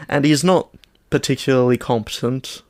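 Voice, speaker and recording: A man co-hosting a podcast in casual conversation, with a measured, dry and hesitant delivery. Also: mid-range voice, a little mellow, no room sound. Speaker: podcast co-host. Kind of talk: casual chat.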